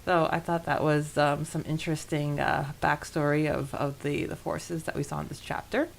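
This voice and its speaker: A woman's voice: sits low, level tone, normal speed, vocal fry, a little hiss or hum. A woman co-hosting a podcast in a casual, chatty and enthusiastic way about a book. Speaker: podcast co-host.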